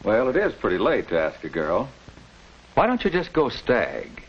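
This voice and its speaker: A middle-aged actor playing a calm, encouraging father with an American accent, measured and reassuring in a 1940s educational film. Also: fairly low pitch, breathy edge, heavily acted. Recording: dull lo-fi 1940s film soundtrack.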